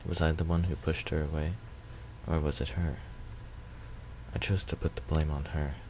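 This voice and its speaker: A man's voice: extremely low pitch, flat delivery, moderate pace, darkish tone, slight background noise. A man reading first-person visual novel narration aloud.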